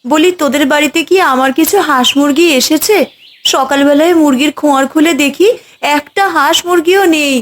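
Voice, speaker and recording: A woman's voice: high-pitched, brisk, close to an everyday voice. Woman voicing a wailing village mother. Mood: distraught. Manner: wailing, pleading. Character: distraught village mother who lost her poultry.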